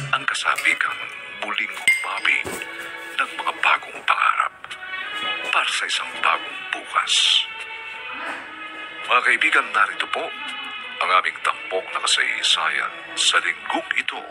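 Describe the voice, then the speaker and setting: Solemn male radio drama narrator over loud music. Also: fairly low pitch. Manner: solemn, measured.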